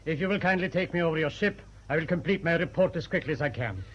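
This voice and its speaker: A man playing a polite but firm Norwegian naval commander in a wartime radio play, formal and measured, on an old recording. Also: on the high side, animated, fairly bright tone, lo-fi sound, a little hiss or hum.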